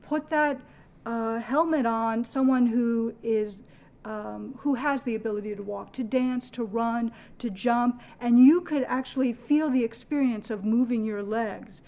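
A woman's voice: on the high side, a little slow, dark tone. Woman guiding a museum discussion. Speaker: museum educator leading a discussion. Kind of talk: gallery talk to a group.

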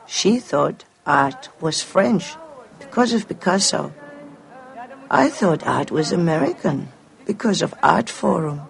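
A man from South Africa, a native Afrikaans speaker, reading short, measured statements in a recorded piece. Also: fairly high pitch, gravelly. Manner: calm, measured, plain sentences. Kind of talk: recorded spoken statement in a video.

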